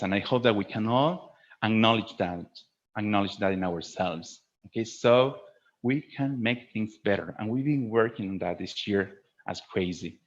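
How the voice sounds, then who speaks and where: A man's voice: lowish voice, highs cut off. A man teaching a webinar to fellow teachers in a didactic way, often checking in with a short questioning tag at the ends of phrases.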